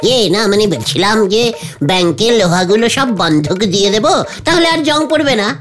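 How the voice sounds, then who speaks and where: A woman voicing an excitable, scheming village uncle in a comic cartoon, muddling and stammering through the words. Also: mid-range voice, moderate pace, natural voice. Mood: wheedling. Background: music.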